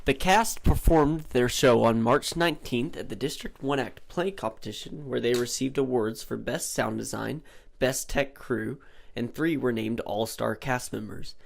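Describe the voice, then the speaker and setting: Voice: mid-range voice, moderate pace, vocal fry. A young adult reporter reading scripted narration for a news package.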